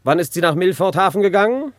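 A man's voice: high voice, lively intonation, fast, no echo. An actor playing a spiteful, vengeful nobleman in a classical radio drama, gloating, menacing and commanding. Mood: excited, commanding. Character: spiteful, vengeful nobleman obsessed with cruel revenge.

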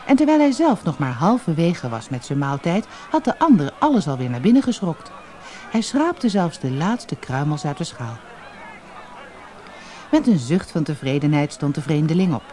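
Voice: mid-range voice, big pitch swings, moderate pace, warm tone, slight background noise. A narrator reading a storybook expressively and dramatically for an audiobook, on an old cassette recording.

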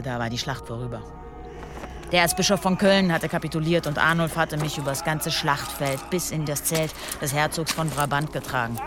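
A woman's voice: deep voice, close to an everyday voice. Battle-hardened female warrior played by an actress, recounting combat in a radio drama over prominent music. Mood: subdued. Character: battle-hardened medieval warrior woman recounting her fights. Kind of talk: dramatic first-person narration in an audio drama. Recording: music under the voice.

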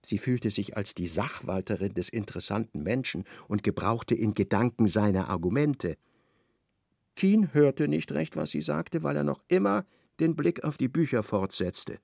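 Ein Mann als Erzähler eines literarischen Hörspiels. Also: male voice, medium pitch, expressive, moderate pace, gravelly, darkish tone, husky, dry recording, studio quality. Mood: measured narration. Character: expressive male narrator of a literary radio play. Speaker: narrator. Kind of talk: literary radio play narration.

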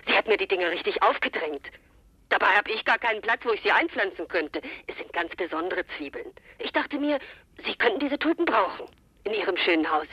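A chatty, friendly young caller in a radio drama. She comes through a dull telephone filter, husky and very dry. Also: mid-range voice, bright, forceful tone, dry recording. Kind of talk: 1980s German radio play acting.

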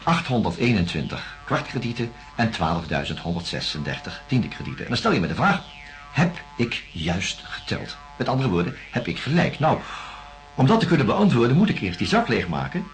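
An actor playing a patient, cautious, kindly doctor, speaking in a measured, slightly hesitant way in a radio drama. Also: male voice, medium pitch, big pitch swings, soft background music. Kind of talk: naturalistic radio drama dialogue.

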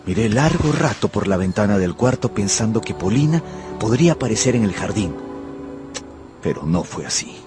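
A man's voice: fairly low pitch, big pitch swings, moderate pace, music in the background. An actor playing an earnest, lovestruck young man, narrating and speaking in an intimate radio drama. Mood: wistful narration. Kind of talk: first-person radio drama narration and dialogue.

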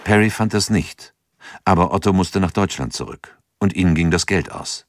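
A man narrating a radio drama in a measured, grave tone. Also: deep voice, expressive, dry recording, studio quality. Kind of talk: scripted narration.

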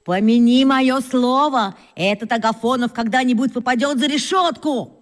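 A woman as a high-voiced cocky schoolboy. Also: normal speed, big-room sound, natural voice. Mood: boastful, indignant. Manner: cocky, swaggering, emphatic storytelling. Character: boastful schoolboy, fifth grader, self-important would-be detective. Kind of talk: children's radio play acting.

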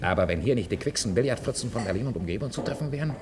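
An actor playing a vain young aristocratic guards officer, clipped and haughty, in a 1950s German radio drama. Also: male voice, medium pitch, lively intonation, rapid-fire, lightly acted. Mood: self-important. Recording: old radio recording, crowd noise behind.

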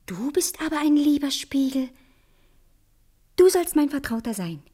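High-voiced, vain young queen. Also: big pitch swings, measured pace, dark tone, faint music behind. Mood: confiding, dreamy. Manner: haughty, imperious, dramatic. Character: vain, bossy, dreamy young fairy-tale queen. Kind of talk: theatrical radio play.

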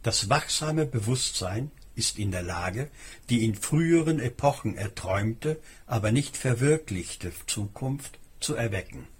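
A man reading a scripted documentary narration in a measured, explanatory manner. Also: medium pitch, animated, husky.

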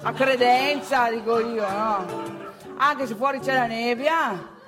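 A woman's voice: a little high, takes it slowly, over music. A woman performing a comic stage monologue as a brash, grumbling provincial man with a northeastern Italian accent, colloquial, boastful and repetitive. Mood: teasing. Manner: colloquial, boastful, repeats phrases, addresses listeners directly. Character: brash, grumbling provincial young man chasing women in bars.

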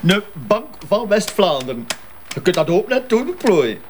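Excitable, clownish policeman. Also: high voice, unhurried, background noise. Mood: eager. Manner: excitable, jokey, folksy. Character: clownish, eager junior policeman. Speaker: actor in a radio crime drama. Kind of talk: comic radio drama acting.

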